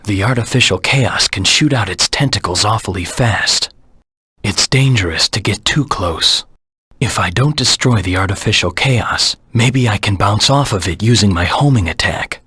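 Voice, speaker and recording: A cold, determined hedgehog anti-hero from a video game. The voice is low and heavily rasped, recorded dry and close. He talks tersely and seriously, as if weighing each move to himself. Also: sharp tone, no room sound, exaggerated voice. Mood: calculating. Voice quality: husky, gravelly. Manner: terse, serious, deliberate self-talk. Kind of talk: video game character voice lines.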